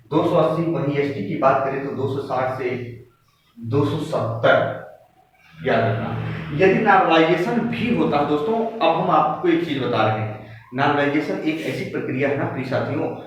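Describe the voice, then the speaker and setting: A man lecturing like a coaching teacher, explaining figures emphatically and repeating key points to students. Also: a little high. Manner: emphatic, repetitive, directly addressing students. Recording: very echoey room, distant muffled mic, noisy.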